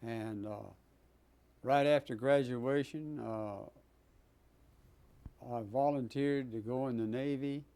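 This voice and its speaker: A man, a Navy veteran recounting his service in an oral history interview, speaking haltingly with many pauses and fillers. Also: mid-range voice, fairly even intonation, warm tone, smooth. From Texas. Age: 90s.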